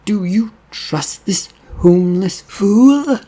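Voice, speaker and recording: A man's voice: high voice, expressive, takes it slowly, dark tone, pitched above the performer's own voice, exaggerated voice. A man voicing a prim, judgmental religious woman who is distrustful and disdainful in an audiobook story. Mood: scornful. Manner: disdainful, superstitious, curt.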